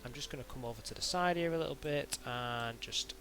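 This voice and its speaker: A man narrating an informal software tutorial in a hesitant, halting way, as if thinking aloud. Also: mid-range voice, slight background noise. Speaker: tutorial instructor.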